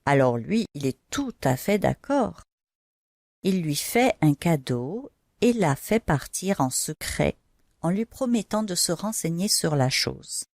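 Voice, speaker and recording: A woman's voice: lowish voice, big pitch swings, slow pace, a little mellow, no room sound, clean studio sound. A woman storyteller narrating Bible stories for children in simple, didactic language, speaking directly to the young listener.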